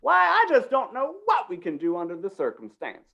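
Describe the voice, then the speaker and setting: A woman's voice: mid-range voice, wildly swooping pitch, gravelly, fairly bright tone, highs cut off, full character voice. A woman playing a flustered, folksy, dithering small-town announcer in an old-time western radio play. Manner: dithering, halting, folksy, repeats words.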